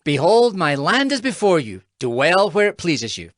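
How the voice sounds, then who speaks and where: A woman's voice: on the low side, big pitch swings, unhurried, dry recording, clean studio sound. A woman voicing an ancient king in a dramatized scripture reading, speaking formally and earnestly. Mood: conciliatory. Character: Abimelech, an indignant, pleading ancient king.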